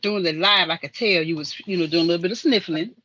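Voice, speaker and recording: A woman's voice: on the low side, fast talker, sharp tone, no room sound, muffled recording. A woman hosting a casual live-stream chat, who calls people honey and baby. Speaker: host.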